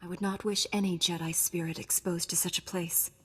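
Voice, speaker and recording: A woman voicing a composed, principled young Jedi knight in a video game, speaking in a measured, formal way. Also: on the low side, flat delivery, husky. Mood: dry, resolute.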